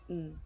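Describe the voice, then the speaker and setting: A woman's voice: lowish voice, dark tone, slight background noise. A woman doing an ASMR role-play as a shy, fond office worker, talking in a hesitant, giggly way that often stalls on her words.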